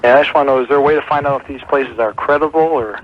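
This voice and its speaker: A man's voice: mid-range voice, rapid-fire. A man from the United States calling in to a radio show, talking casually over the phone. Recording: dull lo-fi call on radio broadcast.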